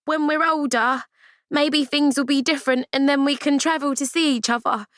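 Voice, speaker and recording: Woman doing a loyal working-class girl, higher voice, bit loud. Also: fairly even intonation, normal speed, no room sound, studio quality. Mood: promising.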